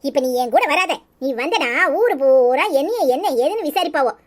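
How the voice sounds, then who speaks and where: A woman playing a sharp-tongued, suspicious village wife in a melodrama, scolding and taunting in a southern Tamil Nadu accent. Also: high-pitched, lively intonation, normal speed. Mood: suspicious, bossy. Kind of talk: melodramatic village serial acting.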